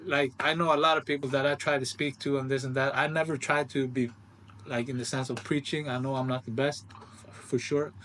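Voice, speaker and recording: A man's voice: mid-range voice, flat delivery, brisk, fairly bright tone, slight background noise, poor audio. A man hosting a casual podcast conversation, speaking earnestly and repeating phrases for emphasis. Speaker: podcast host.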